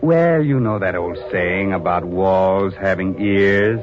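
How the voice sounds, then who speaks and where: An actor playing a wisecracking, mock-sinister horror host in a 1940s radio drama, trading puns with a slow, deliberate delivery. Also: male voice, sits low, noisy background. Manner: punning, mock-sinister, chuckling. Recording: dull old radio broadcast with music.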